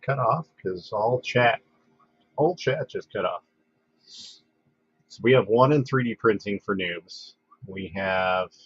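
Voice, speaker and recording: A man hosting a casual livestream, congested from a head cold, talking in a relaxed, halting way with lots of fillers and restarts. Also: on the low side, husky, no room sound. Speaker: livestream host. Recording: dull, dry lo-fi home stream audio.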